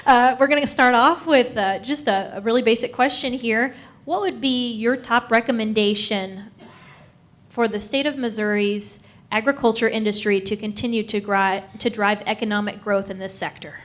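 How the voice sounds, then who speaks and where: A woman's voice: on the high side, steady tone, normal speed. A woman, a news anchor moderating a candidate forum, asking questions in a friendly, conversational way in an event hall.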